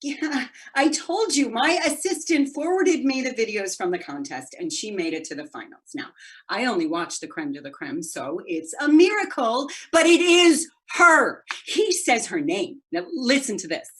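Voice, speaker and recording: An actress plays a wealthy, entitled mother searching for her stolen child. Her high voice swings widely and has a husky rasp. She is agitated, imperious and insistent, stumbling over words in a stage monologue on a video call. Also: sing-song, normal speed, fairly bright tone, exaggerated voice. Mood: urgent disbelief, then impatient. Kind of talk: one-act play monologue on a video call.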